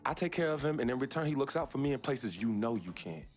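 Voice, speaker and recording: An actor playing a young male basketball star in a video game cutscene, speaking in an earnest, measured, heartfelt way. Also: mid-range voice, lively intonation, faint music behind, lightly acted. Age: young adult. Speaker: game protagonist.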